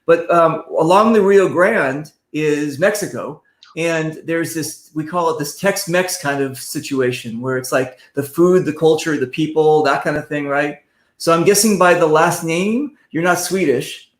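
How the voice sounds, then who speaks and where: A man's voice: fairly high pitch, measured pace. A man hosting a casual conversational interview, joking and often interjecting. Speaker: interview host.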